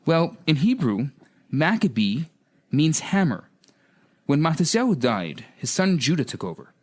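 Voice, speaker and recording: A man's voice: on the high side, big pitch swings, a little slow. A man, a rabbi appearing as a radio guest, telling a story in a scripted storytelling style.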